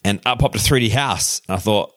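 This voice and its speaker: Man, New Zealand accent, forties, deep voice, relaxed guest storytelling, dry sound. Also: brisk, no echo. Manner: relaxed, chatty, with many fillers and asides. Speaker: guest, tech founder. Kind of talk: casual storytelling interview.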